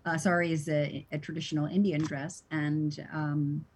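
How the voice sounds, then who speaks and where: An American woman who teaches Sanskrit, talking in a relaxed, thoughtful way with many "you know"s. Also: low voice, moderate pace, breathy edge. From United States. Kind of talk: casual conversation.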